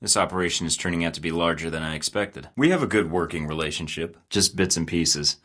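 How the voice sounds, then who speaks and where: A man's voice: low-pitched, fast talker, fairly bright tone. An actor voicing a cocky young male secret agent in a video game, delivering dry, sarcastic quips. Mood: wry. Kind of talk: game voice lines.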